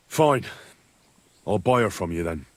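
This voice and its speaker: An actor playing an earnest, persistent young squire with a husky voice in a medieval video game. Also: male voice, lowish voice, big pitch swings, takes it slowly, a bit bright, no room sound, exaggerated voice. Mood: coaxing. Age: young adult. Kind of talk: video game dialogue acting.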